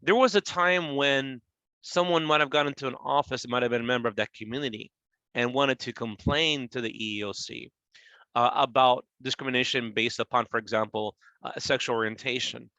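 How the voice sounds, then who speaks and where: A man's voice: medium pitch, steady tone, fairly quick, bright, forceful tone, dry recording, lo-fi sound. A man in his forties giving an informal, conversational webinar presentation on workplace law, explaining and rephrasing points as he goes. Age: 40s. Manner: conversational, checks in with "right?". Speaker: government agency presenter.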